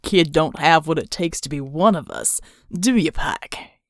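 A gruff Southern US gang leader, as a woman narrator puts him on, pitched down low. He drawls in a folksy, mocking way, sneering through his lines in a dry audiobook recording. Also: lively intonation, measured pace, dry recording.

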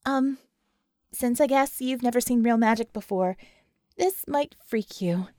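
A woman's voice: fairly high pitch, a little slow, a little mellow, no room sound, studio quality, close to an everyday voice. A woman voicing a nervous, earnest female techno-magician, hesitant and wry, in an audiobook.

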